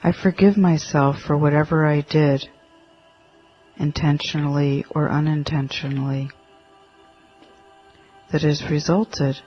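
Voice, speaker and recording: A man leading a guided meditation in a gentle, halting manner, leaving long pauses between short phrases. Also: fairly high pitch. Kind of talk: guided meditation, spoken instructions. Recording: dull lo-fi audio with faint music.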